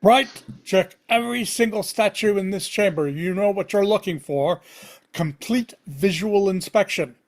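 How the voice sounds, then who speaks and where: A man reading the part of a stern, brisk military cleric leader in a script read-through. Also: high voice. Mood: commanding.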